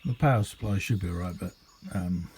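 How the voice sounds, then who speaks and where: A British man with a lowish, very mellow and relaxed voice talks casually through an informal demonstration. He rambles and hesitates, unscripted and easygoing. The amateur home recording has clear background noise. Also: a little fast. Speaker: hobbyist radio demonstrator.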